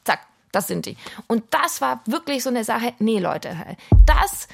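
A woman's voice: on the high side, very animated, measured pace, creaky, breathy edge. A woman talking off the cuff in an emphatic, colloquial and indignant way. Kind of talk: spontaneous interview talk.

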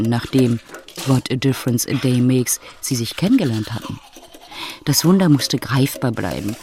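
A woman's voice: a bass voice, darkish tone. Radio play narrator: composed, wry female storyteller, literary delivery.

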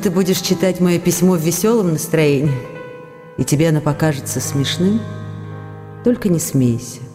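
A woman's voice: deep voice, dark tone. An actress playing a loving, wounded young wife, reading her letters in an intimate, earnest manner in a radio play. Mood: tender.

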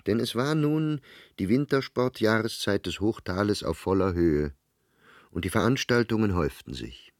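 Man, deep voice, radio drama narrator, measured literary delivery, very dry sound, faint musical backing. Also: no room sound. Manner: measured, formal storytelling.

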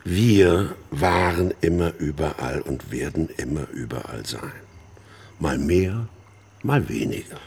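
An actor playing a sinister, smug older man in a radio play, speaking in a raspy voice with a deliberate, insinuating, condescending manner. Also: extremely low pitch, animated, darkish tone, a little hiss or hum, exaggerated voice. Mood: dismissive. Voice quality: husky, raspy. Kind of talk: radio drama dialogue.

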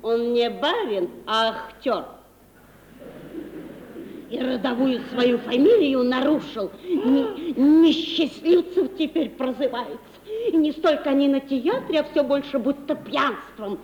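An actress playing a fawning, gossipy, superstitious elderly housekeeper with folksy, obsequious speech in a Russian radio play. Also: female voice, sits high, slow pace, a little mellow. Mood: anxious, tattling. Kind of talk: 1950s Russian radio theatre acting. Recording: dull, noisy, echoey 1950s radio recording.

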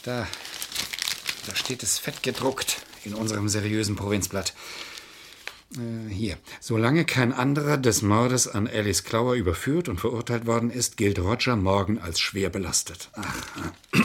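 Ernster, beharrlicher Anwalt mit tiefer, belegter Stimme. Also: male voice, fairly low pitch, normal speed, breathy edge. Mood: impatient, lecturing. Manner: earnest, precise, argumentative. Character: stern, insistent male lawyer, brooding over a case. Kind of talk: 1970s German radio crime drama acting.

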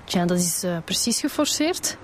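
A woman's voice: on the low side, animated, moderate pace, a little hiss or hum, close to an everyday voice. A casually inquisitive Flemish female detective in a radio drama, played by an actress. She sounds like she is making small talk while probing. Faint outdoor ambience with birds sits behind her. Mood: curious.

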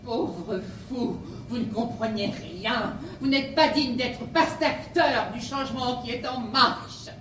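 Grandiose, deranged high-voiced villainess. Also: female voice, takes it slowly, few pauses, recorded from a distance. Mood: grandiose taunting. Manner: theatrical, pompous, defiant. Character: grandiose deranged female killer, fanatical devotee of pasta. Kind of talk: comic audio drama acting. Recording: roomy, off-mic, noisy action-scene sound.

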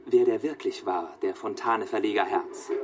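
A man's voice: on the low side, fairly even intonation, moderate pace, background noise, close to an everyday voice. A man playing a precise, needling researcher in a radio play, insistent and pedantic as he presses his revelations.

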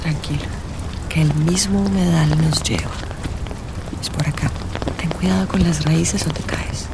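A woman's voice: deep voice, fairly even intonation, a little slow, noisy background. An actress playing a wry, teasing female fortune-teller companion in a radio drama, with a knowing manner.

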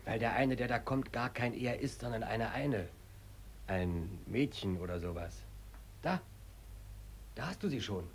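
A man acting in a radio play as an otherworldly observer of humans, husky, dryly mocking and musing. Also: medium pitch, expressive, unhurried, pauses often, a little hiss or hum, close to an everyday voice. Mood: furtive, matter-of-fact. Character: sardonic otherworldly onlooker musing on strange two-legged humans.

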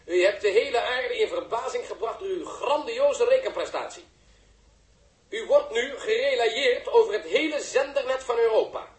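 Slick showy announcer, strikingly high male voice, effusive, grandiose, echoing vintage radio play. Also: expressive, moderate pace. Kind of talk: 1960s Dutch radio drama acting. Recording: roomy, echoing radio drama sound.

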